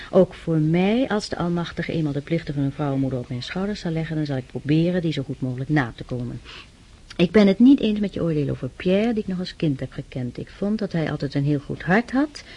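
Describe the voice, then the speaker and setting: Young noblewoman, husky-voiced, gentle, pious and reflective, earnest period radio drama delivery. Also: low-pitched, exaggerated voice. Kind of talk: Dutch radio drama acting.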